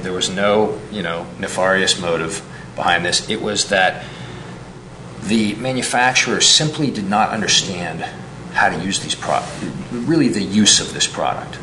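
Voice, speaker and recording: A man's voice: sits low, echoey room, noisy background. A man speaking on a business school panel in a conversational way, full of "you know" fillers. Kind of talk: panel discussion. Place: lecture hall.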